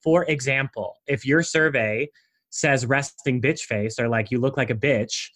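A man speaking as a guest in a conversational interview, explaining his branding method with animated energy. His voice sits at an ordinary middle pitch for a man, and he drops in frequent casual asides as he talks. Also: measured pace.

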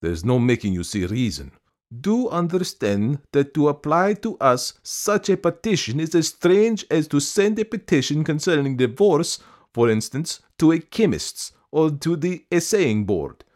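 A man's voice: a little high, sing-song, a little slow, a little mellow, pitched above the performer's own voice. A man voicing a weary, irritable male bank official in a story, curt and languid as he explains himself.

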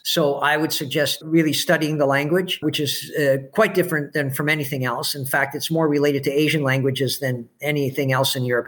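A middle-aged man from Canada, a podcast guest, answering in a relaxed, measured way with hesitations and small chuckles. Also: fairly high pitch. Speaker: podcast guest, expat in Budapest. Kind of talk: casual interview answers. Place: home, remote podcast call.

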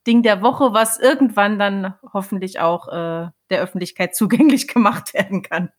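A woman's voice: medium pitch, normal speed. A woman co-hosting a casual podcast chat, speaking expressively and with enthusiasm. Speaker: podcast co-host and author.

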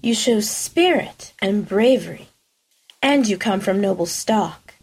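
A woman putting on a soft hissing dark lord. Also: mid-range voice, lively intonation, slow, poor audio. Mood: menacing. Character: cold, hissing male dark lord, menacing. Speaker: audition reader.

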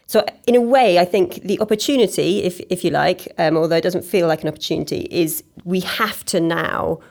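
Low, gravelly-voiced woman. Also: fairly quick, darkish tone. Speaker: panel guest. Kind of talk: panel discussion on economics.

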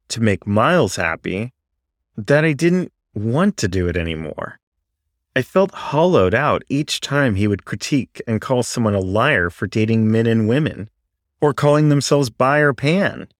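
A man's voice: mid-range voice, lively intonation, unhurried, no room sound, clean studio sound. A man narrating a first-person story in an earnest, confessional manner.

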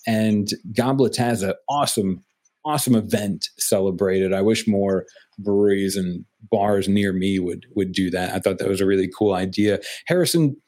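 A fairly low-voiced man talks casually on a podcast. He rambles and restarts his sentences, leaning on fillers as he goes. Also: moderate pace, darkish tone. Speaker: podcast co-host. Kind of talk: casual beer podcast chat.

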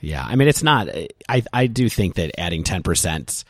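A man with a very low voice talks through figures at length on a podcast. He is thoughtful and casual, recorded close with a dry, dead sound. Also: big pitch swings, normal speed, dry recording. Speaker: podcast co-host.

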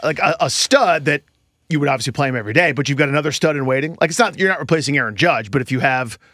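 A man co-hosting a sports podcast, explaining and bantering about baseball in a casual, animated way. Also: on the high side, very fast, no room sound, clean studio sound.

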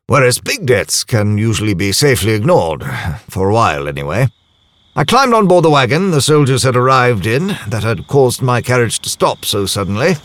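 An actor playing a worldly diplomat and spy who recounts events in a measured, dryly wry first-person audio drama narration. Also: male voice, on the high side, fairly bright tone, no room sound.

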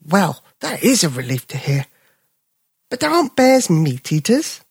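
A storyteller voicing a small old male dog who is polite, gentle and sad. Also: mid-range voice, sing-song, measured pace, vocal fry, breathy edge, dry recording, studio quality, voice pushed up, exaggerated voice. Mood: wistful. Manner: polite, wistful.

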